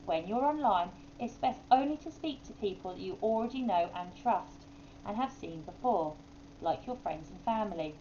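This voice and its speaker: A woman's voice: mid-range voice, animated, normal speed, dark tone, lo-fi sound, a little hiss or hum. A woman narrating a children's story expressively, as a primary school reader would.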